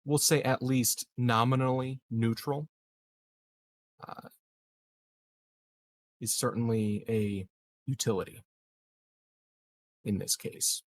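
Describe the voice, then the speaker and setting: A man acts out a smooth, scheming colonial official in a science fiction roleplaying game. His voice sits at a middle pitch. He speaks with hesitant, carefully hedged diplomacy and a guarded manner. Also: steady tone, dry recording, lightly acted. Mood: guarded, persuasive. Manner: hesitant, hedging, measured politician's talk. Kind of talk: improvised tabletop roleplay.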